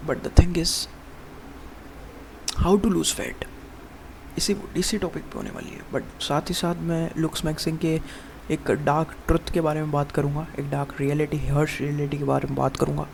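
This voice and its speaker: A young man in his early twenties talks loosely to the camera with a rough, gravelly edge on his voice, sitting a touch higher than most men. He rambles, starts sentences over and keeps calling the listener "bro" as he vents about fitness. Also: fairly even intonation, moderate pace, a little mellow, a little hiss or hum. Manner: rambling, addresses viewer as "bhai" constantly, many restarts. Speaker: fitness vlogger. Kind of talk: casual to-camera monologue.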